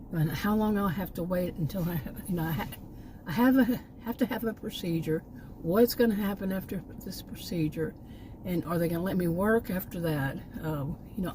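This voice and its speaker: A woman's voice: fairly low pitch, noisy background. A middle-aged woman from Texas chatting casually to her camera outdoors near a park, meandering and repeating words as she trails off. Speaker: vlogger. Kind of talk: casual rambling chat to camera.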